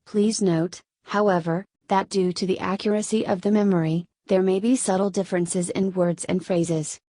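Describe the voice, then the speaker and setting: A woman reading scripted exam-practice material aloud in a measured, formal manner. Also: medium pitch, fairly even intonation, mellow, dry recording. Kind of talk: scripted read-aloud narration.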